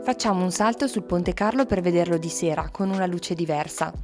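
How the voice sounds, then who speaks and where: Low-pitched woman narrating travels over music. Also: a little fast. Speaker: travel vlogger. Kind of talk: scripted travel narration with short casual asides. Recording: music under the voice. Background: background music.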